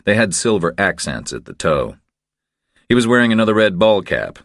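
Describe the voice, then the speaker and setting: A man reading scripted thriller fiction as an audiobook narrator, in a measured, deliberate storytelling manner. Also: fairly low pitch, sing-song, fairly bright tone, no echo, clean studio sound.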